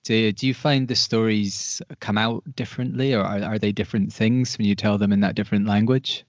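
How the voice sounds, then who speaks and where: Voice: medium pitch, steady tone, fairly quick, no echo. An interviewer who keeps the chat going with short, encouraging prompts, in a mid-range voice. The sound is very dry and close, with a dull lo-fi tone. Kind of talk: conversational interview.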